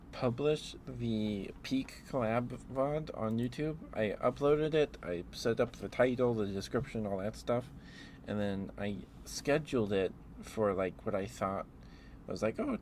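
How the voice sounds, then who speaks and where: Male ASMR streamer, lower register, gentle drowsy casual delivery, close mic with clear background noise. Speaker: live streamer. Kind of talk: rambling ASMR livestream chat.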